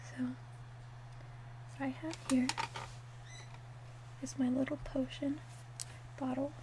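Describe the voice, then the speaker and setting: Hushed, high-voiced woman playing a witch. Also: level tone, noisy background. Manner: slow, gentle, hushed, deliberate delivery. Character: calm, helpful female witch selling love potions. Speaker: ASMR roleplayer. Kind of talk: ASMR roleplay, soft-spoken and whispered.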